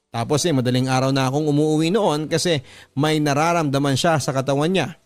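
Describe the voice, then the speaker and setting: A man hosting a radio story show, reading listener letters aloud in an earnest, measured way. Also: fairly high pitch. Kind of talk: scripted narration of letters. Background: faint background music.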